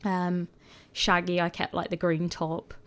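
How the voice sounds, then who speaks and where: Woman, a bit croaky, lowish voice, rambly casual chat with lots of likes and ums. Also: flat delivery, unhurried, fairly bright tone. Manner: chatty and rambling, full of "like" and "um". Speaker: gaming video creator. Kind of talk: casual voice-over commentary.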